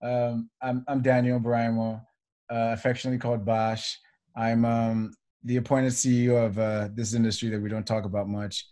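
Guy in his 20s, mock-formal intro, very flat voice. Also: medium pitch, moderate pace. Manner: playfully formal, list-like. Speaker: software engineer.

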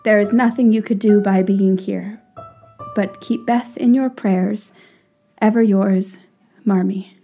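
A woman acting in a period audio drama as a grave, loving mother, reading a letter in a measured, solemn way. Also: mid-range voice, fairly even intonation, warm tone, slightly rough, soft background music. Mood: grave, sorrowful. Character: Marmee, grave, loving mother writing sad news.

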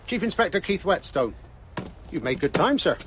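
An actor playing a deferential local chief inspector in a radio drama, matter-of-fact and clipped. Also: male voice, high-pitched, big pitch swings, normal speed, fairly bright tone, a little hiss or hum. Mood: businesslike.

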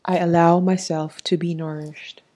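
Slow, soft-voiced woman guiding meditation. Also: on the low side, level tone. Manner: soothing, measured, instructing. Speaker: meditation guide. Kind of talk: guided meditation narration.

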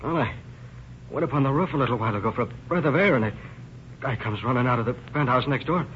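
An actor playing a wounded, evasive young suspect in an old-time radio crime drama, weary and in pain. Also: male voice, medium pitch, quick pace, close to an everyday voice. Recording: muffled lo-fi old radio recording, faint noise.

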